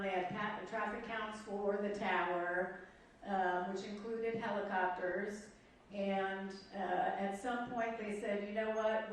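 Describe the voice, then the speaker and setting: Halting, deliberate woman in an echoey hearing room. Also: medium pitch. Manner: halting, deliberate, emphatic on key points. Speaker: board official questioning staff at a hearing. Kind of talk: formal public hearing remarks and questioning. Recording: echoey room, distant mic, faint noise.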